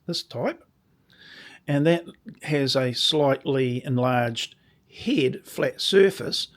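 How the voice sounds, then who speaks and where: A man with a slightly croaky voice, casually demonstrating a workshop project and often restarting his sentences as he explains. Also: medium pitch, extremely slow. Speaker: woodworking channel host.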